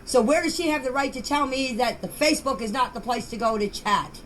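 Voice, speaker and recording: A woman's voice: sits high, a bit bright. A middle-aged or older woman speaking off the cuff in voice messages, defensive and insistent, often stumbling and repeating herself. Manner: halting, stumbling over words and names. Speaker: member of an online group chat sharing voice messages. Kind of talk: casual unscripted voice messages. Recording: noisy amateur voice message recording.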